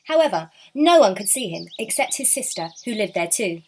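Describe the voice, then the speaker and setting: A woman narrating a children's storybook in an expressive, dramatic, deliberate storytelling manner. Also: medium pitch, slight background noise.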